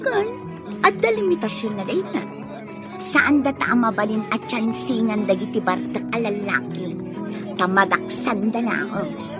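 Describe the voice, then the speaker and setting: High-pitched, expressive young woman. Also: a little slow. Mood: chatty. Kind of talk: Ilocano radio drama acting. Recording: muffled audio under loud background music.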